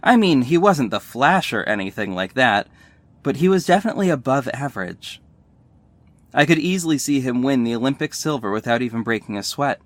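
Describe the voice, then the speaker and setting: Audio drama lead for a male actor: earnest young hero, emotional first-person narration, medium-pitched voice. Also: dry recording, close to an everyday voice.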